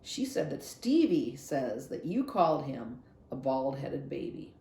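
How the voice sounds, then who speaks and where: Storybook preacher dad, voiced by a woman: reserved, grave, hesitant, mellow and creaky, echoey room. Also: very deep voice, very animated, measured pace, pitched below the performer's own voice, exaggerated voice. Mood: gently firm. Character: reserved, melancholy preacher father, gentle but firm. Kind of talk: acted children's novel read-aloud.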